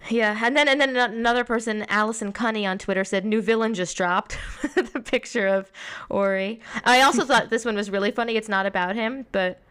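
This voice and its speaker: A woman's voice: fairly high pitch, steady tone, fairly quick, hardly any pauses, fairly bright tone, smooth. A woman co-hosting a podcast, chatting casually and reading tweets aloud with laughs. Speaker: podcast co-host. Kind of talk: casual chat reviewing a reality show.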